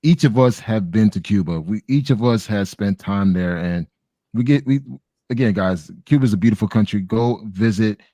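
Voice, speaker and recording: A man's voice: lowish voice, moderate pace, mellow, dry recording. A man from the southern United States talking casually as a panel host, encouraging and addressing listeners directly. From Miami, United States. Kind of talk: casual chat.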